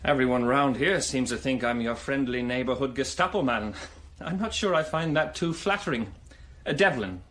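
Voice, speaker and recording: An actor playing a cheeky, talkative adventurer in a radio drama, wry and flippant yet passionate. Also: male voice, medium pitch, normal speed, fairly bright tone, a little hiss or hum, lightly acted. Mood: wry, amused.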